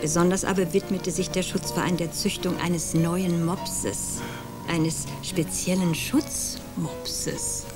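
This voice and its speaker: An actress playing a calm, ironic woman who quietly accuses two men in a radio play, music behind her. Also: fairly low pitch, slow pace.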